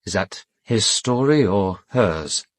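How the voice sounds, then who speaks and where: A well-educated English gentleman in a radio drama. His voice is fairly low and noticeably husky, recorded dry. He is polite but guarded and defensive, with a weary, wry self-deprecation. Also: lively intonation, takes it slowly, no room sound. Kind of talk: British radio drama acting.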